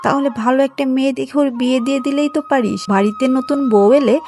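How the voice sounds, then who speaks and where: A woman's voice: high-pitched, steady tone, brisk, mellow, faint music behind, pitched above the performer's own voice. A woman voicing a bossy but kind elderly village auntie in a cartoon, insistent and scolding. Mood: coaxing. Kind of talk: scripted moral-story cartoon dialogue.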